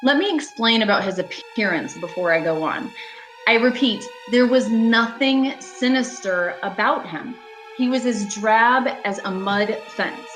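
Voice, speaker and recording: A woman's voice: fairly high pitch, a little slow, a bit bright, low-quality recording. A woman playing an uneasy, haunted motorist driving alone, telling her story dramatically in an amateur radio thriller. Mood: uneasy, reflective. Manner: dramatic first-person storytelling. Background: music.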